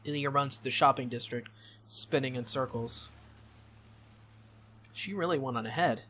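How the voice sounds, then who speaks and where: A game playthrough host reading visual novel text aloud as expressive narration, with casual asides. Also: medium pitch, normal speed, slight background noise.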